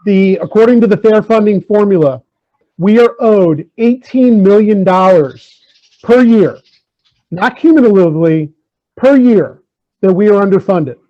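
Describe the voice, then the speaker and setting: A man's voice: high-pitched, expressive, slow. A man, a school superintendent, speaking at an online community meeting over a video call, earnest and hesitant with many fillers, repeating key figures for emphasis. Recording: dull lo-fi call audio.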